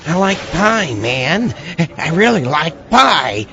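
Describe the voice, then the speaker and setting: A man playing a dim, whiny, overwrought slacker voter with drawn-out pleading delivery in a comedy street interview. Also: fairly high pitch, sing-song, creaky, crowd noise, exaggerated voice. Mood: plaintive. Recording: dull lo-fi audio.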